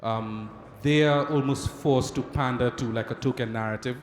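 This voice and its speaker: A man's voice: medium pitch, steady tone, moderate pace, a little hiss or hum. A man answering on a conference panel, hesitant and full of ums. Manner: hesitant, filler-heavy. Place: large conference hall. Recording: roomy echoey conference hall.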